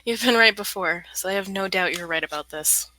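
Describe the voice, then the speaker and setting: Girl playing a calm supportive guy, bright crisp voice, well spoken, audio drama. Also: medium pitch, brisk, close to an everyday voice, low-quality recording. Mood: calm, amused. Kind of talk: audio fan fiction acting.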